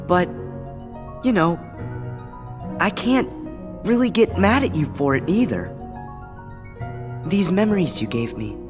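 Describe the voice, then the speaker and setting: A man's voice: high voice, full character voice. A man playing an earnest, kind-hearted teenage boy hero in a game cutscene, sincere and reassuring. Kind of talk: animated game cutscene acting. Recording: long reverb, music behind.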